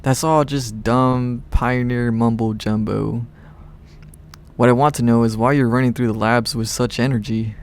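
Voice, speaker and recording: Storybook woman scientist, read by a man: motherly, reassuring boss, gravelly and fairly even. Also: mid-range voice, normal speed, close to an everyday voice. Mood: soothing. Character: kindly middle-aged woman scientist, soothing, motherly boss.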